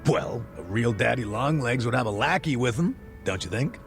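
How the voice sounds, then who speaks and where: Middle-aged male ex-cop bluffer played by an actor, game drama; fry-laden voice, casual bluster, animated delivery. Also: medium pitch, normal speed, faint music behind, close to an everyday voice. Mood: casual, pleading. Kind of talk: video game cutscene dialogue.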